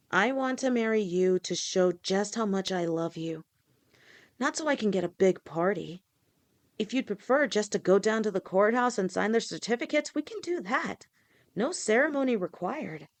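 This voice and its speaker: A woman voicing a loving, protective lamia fiancée in an audio roleplay, speaking gently and reassuringly. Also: mid-range voice, expressive, normal speed, natural voice. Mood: tender. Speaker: voice actor.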